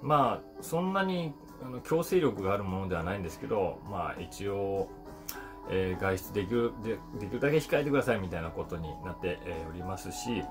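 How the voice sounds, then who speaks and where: A man, an actor, musing to camera in a relaxed, unhurried way with many fillers, recorded indoors on a close mic. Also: low-pitched, music in the background. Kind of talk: casual vlog talk to camera. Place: indoors in front of a brick backdrop.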